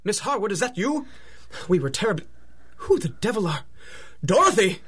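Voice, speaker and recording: An actor playing a formal, deferential manor butler with polite, measured delivery in a 1930s-style radio drama. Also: male voice, sits high, very animated, noisy background. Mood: startled.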